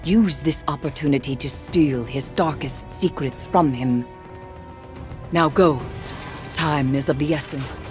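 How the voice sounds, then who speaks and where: A woman voicing a grave, mysterious mystic guide in a video game, solemn, measured and cryptic. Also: low-pitched. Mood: solemn, urgent. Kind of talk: scripted video game acting. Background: game music.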